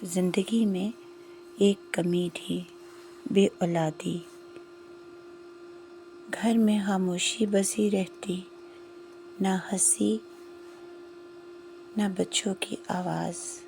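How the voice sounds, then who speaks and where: A woman narrating a scripted moral story in short, measured phrases with pauses. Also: mid-range voice, fairly even intonation, gravelly, warm tone, a little hiss or hum.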